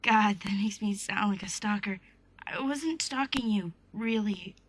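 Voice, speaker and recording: An actress playing an earnest, flustered young oracle in an audio drama, with an awkward, hesitant manner. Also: female voice, mid-range voice, measured pace, slight background noise, close to an everyday voice. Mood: apologetic. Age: young adult. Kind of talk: naturalistic audio drama dialogue.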